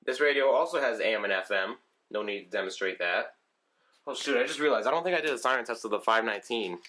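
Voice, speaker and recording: A man's voice: medium pitch, fast, bright, forceful tone. A man casually showing his weather radio collection on video, rambling with lots of ums and restarts. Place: room at home with shelves of radios.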